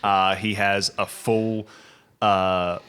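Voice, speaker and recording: A man narrating an improvised tabletop game as game master, in a halting, jokey storytelling manner. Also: lowish voice, flat delivery, a bit bright, clean tone.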